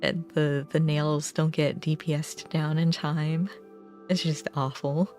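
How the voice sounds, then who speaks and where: Woman, low-pitched voice, casual gaming livestream chat, rambling, self-deprecating, soft music behind. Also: level tone, slow pace. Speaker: livestreamer chatting with viewers.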